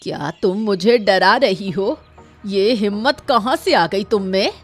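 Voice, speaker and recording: Woman acting a slow-talking, stubborn old mother-in-law. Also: medium pitch, lively intonation, faint music behind, close to an everyday voice. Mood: threatening. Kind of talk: cartoon story voice acting.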